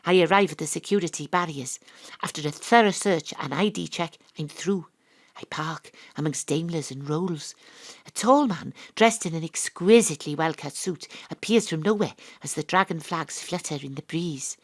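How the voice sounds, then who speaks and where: A woman's voice: sits low, normal speed. A woman with a Welsh accent playing a brash, independent investigator, narrating an audio drama in a dry, blunt manner. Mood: wry. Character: wry, brash, independent-minded female investigator narrating her case.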